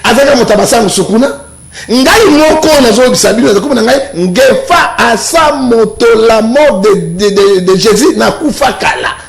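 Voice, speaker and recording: A Congolese man giving an animated, emphatic argument as an interview guest, repeating himself and throwing out rhetorical questions. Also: extremely high pitch, moderate pace, creaky, faint music behind. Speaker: self-styled prophet interviewed as guest. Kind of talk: animated political and religious argument. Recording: echoey, reverberant room.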